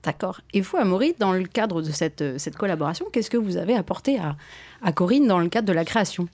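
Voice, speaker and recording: A woman hosting an interview segment, introducing guests in an articulate, measured way. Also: lowish voice, lively intonation, a little mellow, no room sound. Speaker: podcast interviewer.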